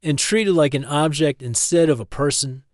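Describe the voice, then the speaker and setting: A man's voice: on the high side, normal speed, darkish tone, no room sound, studio quality, lightly acted. A man voicing a determined, pleading woman scientist in a narrated story, earnest and resolute.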